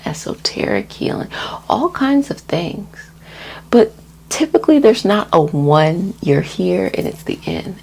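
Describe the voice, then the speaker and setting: A woman with a soft, breathy voice that often drops to a whisper gives a soothing, gently reassuring ASMR energy healing talk. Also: on the low side, slight background noise, low-quality recording. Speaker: energy healer and host of healing sessions.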